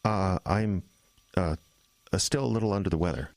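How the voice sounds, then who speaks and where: A man as an earnest, deep-voiced young pastor. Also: a little mellow, no room sound. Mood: shaken, hesitant. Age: young adult. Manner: earnest, measured, tense. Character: earnest, anxious young male small-town pastor. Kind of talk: audio drama acting.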